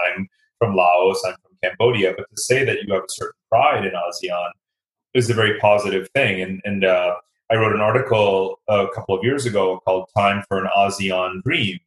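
A man's voice: lowish voice, fairly bright tone, poor audio. A man speaking as an interview guest and author, giving conversational expert commentary in an articulate, explanatory way with emphatic repetition.

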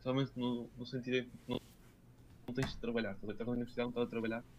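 A man's voice: medium pitch, little pitch movement, rapid-fire, a little mellow, a little hiss or hum. A young man with a European Portuguese accent chatting casually as a podcast co-host in an informal, slangy way. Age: young adult. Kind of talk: casual chat. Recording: dull, lo-fi sound.

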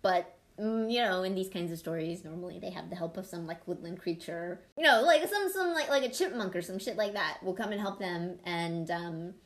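A woman's voice: fairly low pitch, moderate pace, hardly any pauses. A woman hosting a podcast, explaining and telling things in an informal, irreverent, sweary conversational style. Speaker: podcast host. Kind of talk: casual conversational storytelling and explaining.

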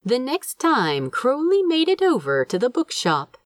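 A woman narrating a scripted piece of fiction in an expressive storytelling manner. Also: high-pitched, unhurried, no room sound, clean studio sound.

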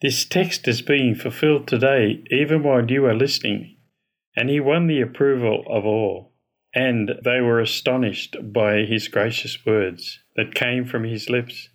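A man reading a gospel passage aloud from a script, with a clear, formal storytelling delivery. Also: mid-range voice, fairly even intonation, a little slow. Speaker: scripture reader.

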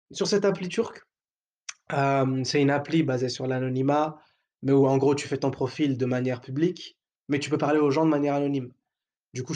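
Gravelly young man, crude joking monologue. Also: mid-range voice, darkish tone. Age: young adult. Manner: joking, crude slang, many fillers. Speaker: podcast host. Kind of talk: casual provocative monologue.

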